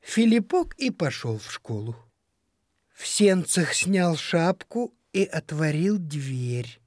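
Slow, animated woman storyteller. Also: deep voice, no room sound, clean studio sound. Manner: expressive, dramatic storytelling. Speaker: storybook narrator. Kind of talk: scripted children's story narration. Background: music.

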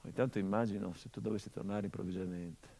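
A male actor delivering a theatrical monologue as a son remembering his father, measured and intimate, swelling into urgency. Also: on the low side, fairly even intonation, creaky, mellow, husky.

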